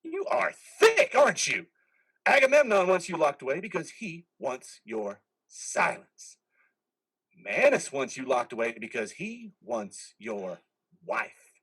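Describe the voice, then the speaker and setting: A sneering, needling phantom voice played by a man haunts a veteran in a stage drama. It is forceful and husky, pitched a little high, and full of menace. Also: huge pitch swings, unhurried, heavily acted. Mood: sneering, goading. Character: taunting imagined male voice in a veteran's head, menacing. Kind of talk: play reading acting. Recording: remote reader's theater call.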